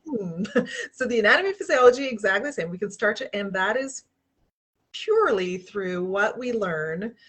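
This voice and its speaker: A woman hosting a casual video-call interview, laughing often and restarting her sentences, with a relatively low voice. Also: expressive, moderate pace, a bit bright, slightly rough. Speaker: host.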